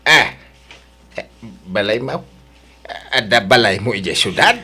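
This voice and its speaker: An actor playing a doting, slow-spoken mature man in a Filipino radio drama. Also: fairly low pitch, animated, pushed and bright, husky, heavily acted. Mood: surprised, wary.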